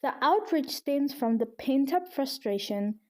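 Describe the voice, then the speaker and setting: Mellow-voiced woman narrating a video essay. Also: high voice, lively intonation, a little slow. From South Africa. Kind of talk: scripted narration.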